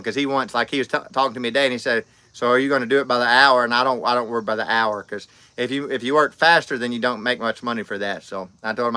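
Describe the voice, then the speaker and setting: A man's voice: medium pitch, bright, forceful tone, smooth, no room sound. A man, chatting casually on a livestream and reading out comments, rambling and friendly with lots of fillers and restarts. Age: 30s. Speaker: livestream host.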